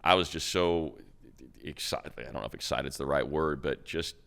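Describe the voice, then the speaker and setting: Very deep, gravelly-voiced sports announcer man. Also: moderate pace, a bit bright. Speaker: sports radio announcer and co-host. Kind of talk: casual sports chat.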